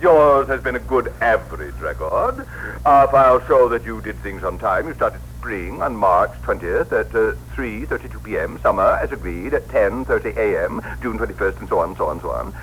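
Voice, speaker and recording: An actor playing a fussy, officious director of a celestial bureau, with pompous, formal diction, in 1960s radio drama style. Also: male voice, lowish voice, expressive, moderate pace, few pauses, a bit bright. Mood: pompous, appalled. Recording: noisy vintage radio broadcast recording.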